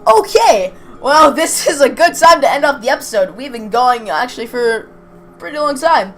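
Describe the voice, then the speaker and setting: A woman's voice: high voice, expressive, normal speed, a bit bright. A woman hosting a let's play, reading a visual novel aloud with casual asides and stumbling over some words as she goes. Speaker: video host playing a visual novel.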